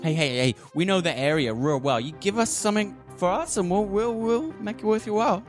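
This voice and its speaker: A man's voice: sits high, lively intonation, fairly quick, pitched above the performer's own voice. A man voicing a scrappy, brash young street-rat kid in a tabletop roleplay, streetwise and wary, often repeating his words. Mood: brash, cajoling. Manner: stuttering repeats, streetwise slang. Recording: music behind the voice.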